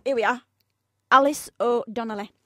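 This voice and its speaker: A woman's voice: a little high, normal speed, close to an everyday voice. A woman playing a polite, breezily demanding office boss in a radio play, speaking in a formal, officious way. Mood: politely matter-of-fact.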